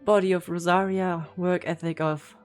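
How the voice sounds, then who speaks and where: A woman's voice: on the low side, flat delivery, normal speed, darkish tone. A woman streaming a game with casual, playful, teasing live reaction commentary. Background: game audio and music.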